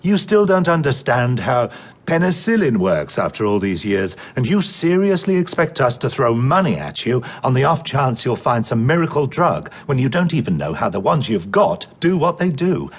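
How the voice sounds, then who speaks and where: A pragmatic male professor and institute director in a radio drama. His husky voice moves expressively up and down while he reasons firmly and guardedly. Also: mid-range voice, very animated. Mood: frustrated. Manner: measured, reasoning, authoritative. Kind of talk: naturalistic radio drama acting.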